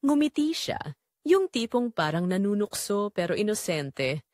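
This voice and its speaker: A woman's voice: medium pitch, big pitch swings, a little slow, a little mellow, no echo, clean studio sound. A woman with a Filipino accent reading a scripted first-person story as the narrator of an audio drama.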